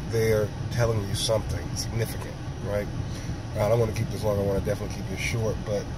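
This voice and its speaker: Hoarse guy w a sore throat, low raspy voice, lots of ums, noisy background. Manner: rambling, slow, many ums and throat clearing. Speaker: vlogger. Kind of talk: casual monologue to camera.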